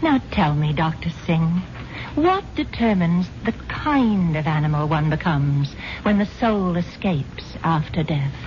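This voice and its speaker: An actress playing a naive, wealthy wife who talks sweetly and gushingly and flares up into indignation, in a 1970s radio drama. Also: female voice, on the low side, lively intonation, measured pace. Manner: gushing and breathy-sweet, prone to sudden indignation. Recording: muffled old radio broadcast with hiss.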